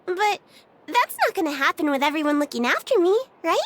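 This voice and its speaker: A woman's voice: extremely high pitch, lively intonation, a little fast. A woman voicing a cheerful, naive young girl detective in a video game, chirpy and scatterbrained, with music playing behind. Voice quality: bright and girlish.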